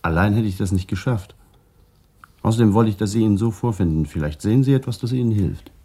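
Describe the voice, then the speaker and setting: A middle-aged actor playing a calm, reflective traffic policeman under questioning in a German radio crime drama, speaking in a measured, guarded way. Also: on the low side, husky. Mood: calm, precise.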